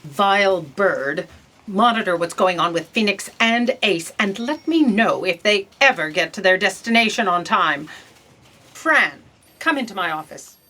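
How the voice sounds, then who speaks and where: Woman acting a gluttonous female boss, imperious, sharp bright voice, dramatic swoops, comic audio drama. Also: mid-range voice, lively intonation, measured pace. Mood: commanding. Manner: haughty, theatrical.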